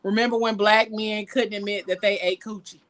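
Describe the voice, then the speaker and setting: A woman co-hosting a casual podcast chat, trading teasing, quick banter. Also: mid-range voice, steady tone, a bit bright. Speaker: podcast co-host.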